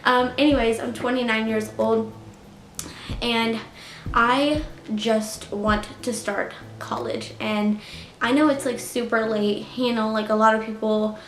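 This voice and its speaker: 20-something woman rambling to her channel, kinda high voice, lots of "like", room's echoey. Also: fairly even intonation, measured pace, slight background noise. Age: 20s. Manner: chatty, heavy use of "like" and "you know". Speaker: YouTuber. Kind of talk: casual storytime vlog. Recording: echoey room.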